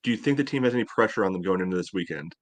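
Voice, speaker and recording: A man's voice: deep voice, animated, very fast. A man chatting casually as a sports podcast panelist, with a dry, sardonic manner.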